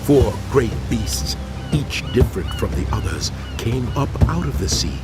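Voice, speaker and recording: A man's voice: mid-range voice, sing-song, unhurried. A man playing an awestruck prophet intensely recounting a terrifying vision in a dramatized audio Bible, over music. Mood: awed, fearful. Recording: very loud dramatic music behind.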